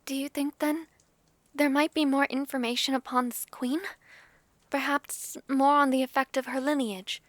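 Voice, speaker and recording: A woman playing an ailing, earnest young dragon-blooded noblewoman in a fantasy audio drama, speaking formally and haltingly. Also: high voice, fairly even intonation, no echo, natural voice. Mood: earnest, curious.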